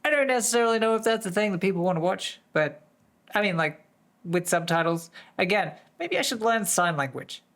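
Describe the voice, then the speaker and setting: A streamer with a voice that is going hoarse, chatting casually to viewers in a distracted, rambling way. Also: mid-range voice, brisk, fairly bright tone. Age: adult, working full-time. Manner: easily distracted, rambling with many restarts. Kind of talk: casual live-stream chat.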